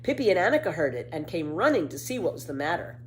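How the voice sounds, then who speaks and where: Animated woman reading a children's story. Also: deep voice, fast talker, poor audio. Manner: dramatic, emphatic storytelling. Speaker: storybook reader. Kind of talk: expressive children's story narration. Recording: faint background noise.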